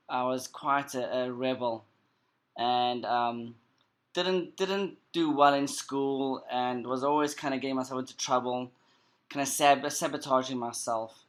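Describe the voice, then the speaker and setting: A man with a South African accent and a medium-pitched voice speaks as an interview guest. His first language is Creole Portuguese. He talks conversationally and reflectively, building earnest personal stories through emphatic repetition. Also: fairly bright tone. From South Africa and Cape Verde. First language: Cape Verdean Creole Portuguese.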